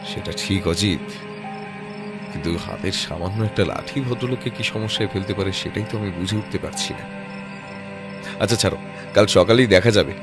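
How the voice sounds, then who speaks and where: A low-pitched male detective played by an actor in an audio drama, composed and analytical. He reasons aloud in a measured manner, with music underneath. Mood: thoughtful.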